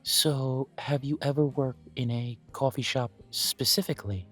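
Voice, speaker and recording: A man's voice: medium pitch, steady tone, measured pace, soft background music. A man voicing a courteous elven café owner. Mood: gracious. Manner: polite, formal, gently self-deprecating. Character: courteous elven male coffee shop owner. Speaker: shop owner interviewing a job applicant. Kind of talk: scripted one-sided roleplay audio.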